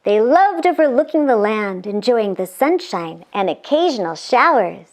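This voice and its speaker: A woman narrating a children's book in an expressive read-aloud, with playful exclaimed asides to young listeners. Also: on the high side, normal speed.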